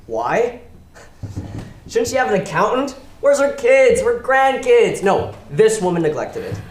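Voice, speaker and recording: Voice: medium pitch, very animated, unhurried. An actor in a stage play playing an indignant, cynical loan shark who rants with sarcastic emphasis. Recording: roomy live theater, audible noise.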